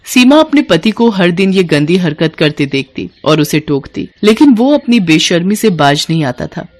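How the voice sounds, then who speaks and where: A woman's voice: lowish voice, very animated, normal speed, no room sound. A woman reading scripted narration as the storyteller of a cartoon moral tale.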